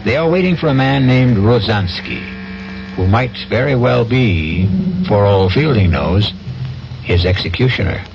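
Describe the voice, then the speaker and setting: Male radio drama narrator, dramatic and deliberate, gravelly low register, dull vintage broadcast. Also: lively intonation. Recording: muffled old radio broadcast. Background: music.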